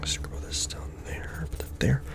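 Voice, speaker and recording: A man playing a casual, wry secret-society intake clerk in a soft-spoken, half-whispered ASMR roleplay, deadpan and rambling. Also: normal speed, loud music behind the voice, lightly acted. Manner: deadpan, rambling, mumbling to himself over paperwork. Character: casual, wry male Illuminati recruitment clerk doing intake paperwork.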